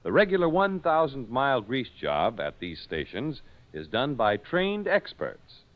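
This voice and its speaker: A man's voice: mid-range voice, huge pitch swings, unhurried. An American man announcing a 1940s radio show in a polished, emphatic, scripted sales style. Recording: dull 1940s radio recording with music.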